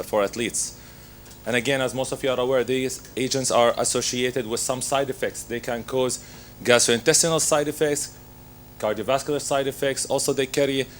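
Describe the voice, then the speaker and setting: A man with laryngitis, hoarse, giving a methodical medical lecture as a pharmacist, working through his slides. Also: medium pitch, slight background noise.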